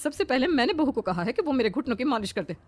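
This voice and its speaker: A woman's voice: high voice, big pitch swings, fast. A woman voicing a demanding, quarrelsome older mother-in-law in a cartoon, bossy and cajoling. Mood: indignant.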